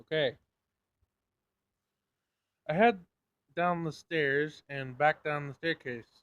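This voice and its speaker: A man's voice: fairly high pitch, takes it slowly, fairly bright tone, smooth. Male gamer narrating in dull lo-fi audio. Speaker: gaming YouTuber reading a visual novel aloud. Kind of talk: dramatic read-aloud narration. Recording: dull lo-fi screen recording, game music behind. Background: faint game music.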